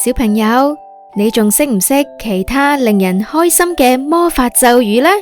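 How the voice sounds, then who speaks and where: A woman with a Hong Kong Cantonese accent tells a children's story in a high voice. She takes her time over the scripted narration, with music playing underneath. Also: animated, unhurried.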